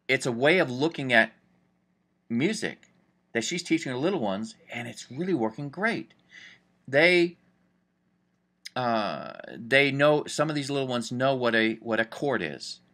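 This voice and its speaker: Male music teacher, bright tone, chatty and upbeat, casual explainer. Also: mid-range voice. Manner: chatty, upbeat, thinks aloud with fillers. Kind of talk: casual screen-recorded lesson.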